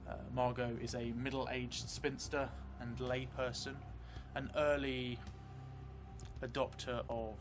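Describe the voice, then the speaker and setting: A middle-aged man narrating a solo tabletop role-playing game in a measured, reflective, hesitant way. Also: medium pitch, flat delivery, noisy background. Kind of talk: improvised first-person tabletop game narration. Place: small indoor room, seated at a desk. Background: background music.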